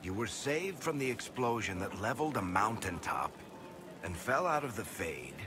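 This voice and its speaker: An actor playing a wry, world-weary dwarf storyteller in a video game, with a sardonic, casual way of talking. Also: male voice, fairly low pitch, very animated, normal speed, noisy background. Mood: awed, wry.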